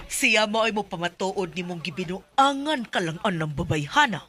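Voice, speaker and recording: A woman's voice: medium pitch, expressive, normal speed, fairly bright tone. A woman voicing a domineering, disapproving mother in a radio drama, scolding her son. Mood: contemptuous. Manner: scornful, scolding.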